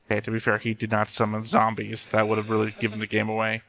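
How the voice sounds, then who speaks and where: A man's voice: lowish voice, monotone, quick pace, fairly bright tone, dry recording. A man giving casual reaction commentary on a show, musing and thinking aloud as he watches. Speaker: host of an anime reaction channel.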